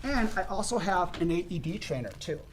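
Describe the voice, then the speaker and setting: A man explaining CPR steps as an instructor in a podcast studio, with a loud, emphatic teaching voice and frequent restarts. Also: sits high, big pitch swings, fairly quick, slight background noise.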